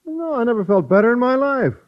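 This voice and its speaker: A man's voice: extremely high pitch, sing-song, fast talker. An actor playing a mild, naive male teacher in an old American radio comedy, earnest and polite. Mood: cheerful, puzzled. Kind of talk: 1940s-50s radio situation comedy acting. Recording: dull old radio recording, studio audience.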